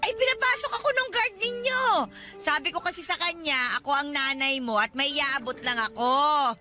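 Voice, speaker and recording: A woman's voice: high voice, moderate pace, pushed and bright, faint music behind. An actress playing a pushy, needy elderly godmother in a Filipino radio drama, wheedling and insistent as she talks. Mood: accusing, wheedling. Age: older.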